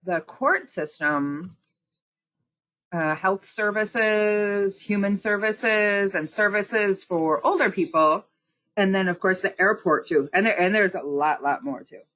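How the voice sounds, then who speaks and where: Woman, slow deliberate pace, mid pitch, elected official answering interview questions, lists things one by one. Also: a bit bright. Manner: unhurried, explains step by step in lists. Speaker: county supervisor and state representative.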